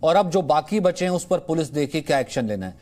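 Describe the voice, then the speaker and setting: Male TV anchor, slightly higher voice, pushy moderator. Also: expressive, fast talker, darkish tone. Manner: pressing, interjecting questions. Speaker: news anchor moderating a debate. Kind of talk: televised debate moderation.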